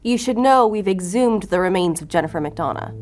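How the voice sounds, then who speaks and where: A woman acting a firm federal agent in an audio drama, with a mid-pitched voice. She is curt, official and skeptical, over slightly noisy scene ambience. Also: animated, a little fast, darkish tone, lightly acted. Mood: pressing, skeptical. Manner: curt, official, dryly confrontational. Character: firm, skeptical female FBI special agent.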